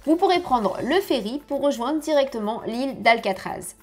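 A woman in her thirties giving travel tips to camera from her home office, lively and chatty, on a lapel mic. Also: high-pitched, moderate pace, soft background music. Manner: lively, chatty, gesturing with hands. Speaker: family travel vlogger. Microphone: clip-on lapel mic.

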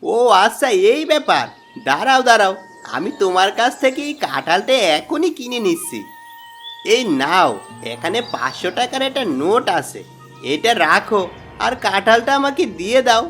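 Greedy village trickster for a cartoon: theatrical, lively intonation, light music underneath. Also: male voice, high voice, moderate pace. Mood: sly, smug. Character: greedy, scheming village swindler, an adult married man. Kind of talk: Bengali cartoon voice acting.

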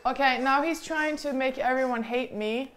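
A woman's voice: high-pitched, steady tone, moderate pace, a bit bright. Teasing, chatty young woman streamer. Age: late 20s. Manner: chatty, teasing, swears freely. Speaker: streamer co-host. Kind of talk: casual live-stream chat. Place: home kitchen, indoors.

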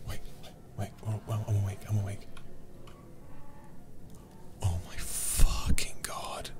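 A man streaming a horror game in ASMR style, speaking in a hushed voice and swearing freely. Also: lowish voice, little pitch movement, slow pace. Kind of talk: ASMR game livestream commentary.

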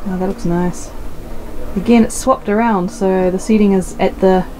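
A woman's voice: medium pitch, fairly even intonation, normal speed. A woman in her thirties filming herself with a handheld camera as she tours caravans, chatting casually and thinking aloud. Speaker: vlogger. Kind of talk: casual walkthrough commentary. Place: busy indoor expo hall, inside caravans. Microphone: handheld camera at arm's length. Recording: loud crowded expo hall. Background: loud crowd and hall noise.

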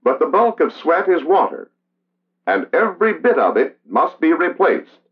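An American man giving measured, solemn, scripted narration in the style of a 1960s training documentary. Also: fairly high pitch. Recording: dull vintage film soundtrack. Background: music.

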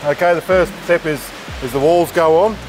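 A man, a factory bay leader explaining his work in a plain, halting way. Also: fairly high pitch, faint music behind, poor audio. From Australia. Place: large indoor factory floor.

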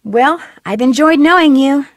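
A woman's voice: high-pitched, a little slow, no echo, clean studio sound. An actress voicing a curious, eager young woman detective in a video game. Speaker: game character.

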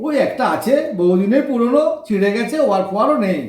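A man's voice: sits high, expressive, fast, darkish tone, distant mic. An actor playing a cheeky, kind-hearted young garage boy with colloquial West Bengal speech, in teasing, slangy audio drama banter. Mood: amused. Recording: echoey room, off the microphone.

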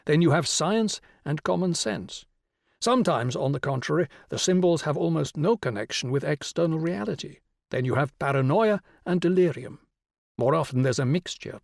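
A man's voice: on the high side, creaky, a little mellow, no echo, clean studio sound. Man playing a confident, articulate intellectual man. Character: articulate male intellectual, patient, confident explainer of religion.

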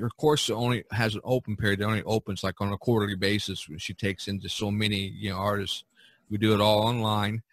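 A man's voice: on the low side, fairly bright tone, dry recording. A man hosting an art podcast in casual chat, speaking in an encouraging way. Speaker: podcast host and artist.

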